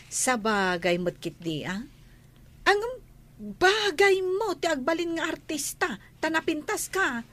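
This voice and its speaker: A woman's voice: high voice, very animated, takes it slowly, a bit bright, full character voice. A woman playing a chatty, friendly senior housemaid in an Ilocano-accented radio drama. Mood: chatty, admiring.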